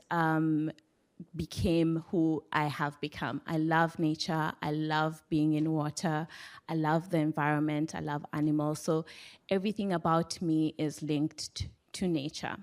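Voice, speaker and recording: Low-voiced Kenyan woman telling her story. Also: steady tone, measured pace. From western Kenya. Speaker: panelist. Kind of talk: personal storytelling at a panel.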